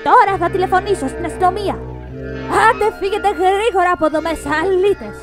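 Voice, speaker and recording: A woman voicing a fussy, feisty older housekeeper in a fan dub of an animated film, doting and then scolding. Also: over music. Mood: outraged. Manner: scolding, doting, shrill when angry.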